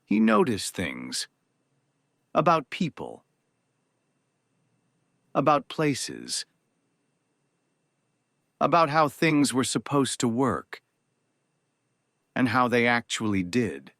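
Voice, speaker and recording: An actor playing a grieving, reserved man from a powerful family, giving a solemn eulogy in measured, halting phrases. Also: mid-range voice, very animated, fairly bright tone, no echo, studio quality, full character voice. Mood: solemn, reflective. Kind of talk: solemn eulogy in audio drama.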